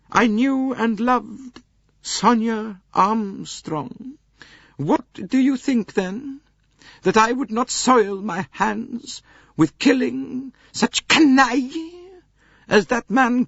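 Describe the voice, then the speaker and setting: A man voicing a proud, bitter elderly princess in an audiobook, speaking with slow, haughty, dramatic emphasis. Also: extremely high pitch, creaky, fairly bright tone, no echo, lo-fi sound, pitched above the performer's own voice, full character voice. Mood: haughty, scornful.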